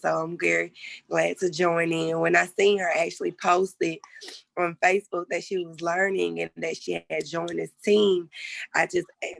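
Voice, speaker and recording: A woman calling in as a guest, her voice raspy from sinus trouble, talking casually and a bit rambling. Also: lowish voice, fairly bright tone, no echo. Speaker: guest caller.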